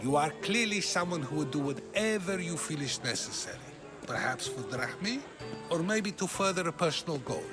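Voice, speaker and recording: An actor playing a probing, articulate ancient Athenian philosopher in a video game, musing and questioning. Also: male voice, a little high, lively intonation, normal speed, natural voice. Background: game music.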